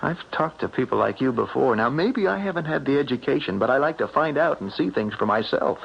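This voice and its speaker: Earnest, animated small-town believer, vintage radio. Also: male voice, mid-range voice, a little fast, gravelly, breathy edge. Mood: insistent, challenging. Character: superstitious small-town young man, earnest, stubborn, in love. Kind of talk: 1970s radio drama acting. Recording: dull, noisy old radio broadcast recording.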